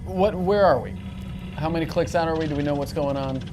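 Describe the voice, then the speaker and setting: A man's voice: a little high, brisk, background noise. A man playing a marine in a casual tabletop roleplay, with clipped, businesslike phrasing. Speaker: tabletop player as marine character. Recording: faint music behind. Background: faint instrumental music.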